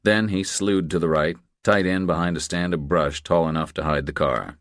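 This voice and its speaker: A man's voice: sits low, fairly even intonation, normal speed, a bit bright, no echo, studio quality. A man reading scripted thriller narration as an audiobook narrator.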